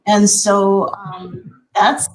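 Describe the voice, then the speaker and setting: A woman's voice: medium pitch, flat delivery, very slow, a little mellow, low-quality recording. A woman in her forties from Minnesota with a Minnesotan accent, an educator speaking earnestly on a panel with frequent ums and restarts. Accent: Minnesotan American. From Minnesota, USA. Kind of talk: conversational panel discussion.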